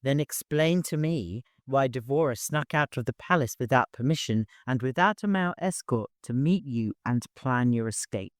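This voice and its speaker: A man's voice: medium pitch, expressive, normal speed, hardly any pauses, no room sound, studio quality, natural voice. A man voicing a cruel, volatile, domineering brother in an audiobook, commanding and threatening. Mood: cold menace. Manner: swings from smooth, stern menace to screaming rage.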